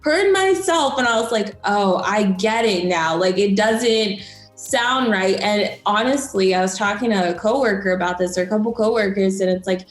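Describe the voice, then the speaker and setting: A woman's voice: mid-range voice, moderate pace. A young woman from Florida who works as a news anchor, giving chatty, encouraging advice with many fillers. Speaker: broadcast journalist and news anchor. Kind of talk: casual interview advice. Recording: roomy echo, faint music.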